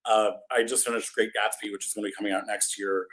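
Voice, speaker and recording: Fairly low-voiced man chatting thoughtfully. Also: level tone, quick pace, a bit bright, rough recording. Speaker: interview guest, playwright. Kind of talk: casual chat.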